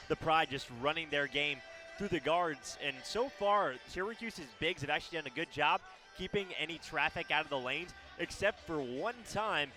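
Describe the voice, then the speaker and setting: A man's voice: a little high, moderate pace, sharp tone, faint music behind. A man giving conversational, analytical color commentary on a basketball radio broadcast.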